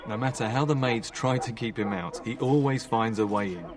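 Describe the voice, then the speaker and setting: An actor playing a polite, flustered household knight in a fantasy game, chatty and deferential. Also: male voice, mid-range voice, normal speed, crowd noise, natural voice. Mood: mildly exasperated.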